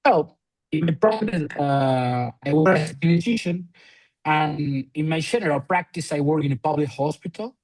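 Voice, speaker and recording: A man's voice: on the high side, a little mellow, low-quality recording. A man from Argentina in his late thirties with an Argentine Spanish accent, a physiotherapist speaking English as a second language with many fillers and restarts in a podcast interview. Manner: halting non-native English with frequent fillers and restarts. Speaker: physiotherapist and researcher guest.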